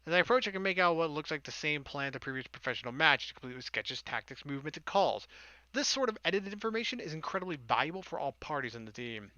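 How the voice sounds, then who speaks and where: A man's voice: on the high side, expressive, fast, pushed and bright, no echo, dull audio. A man reading a visual novel aloud as a let's player, breaking into casual, sarcastic commentary.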